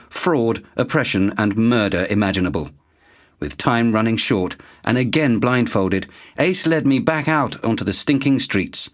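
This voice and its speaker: An actor playing a sober investigative reporter, narrating a grim exposé gravely and deliberately in an audio drama. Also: male voice, medium pitch, lightly acted.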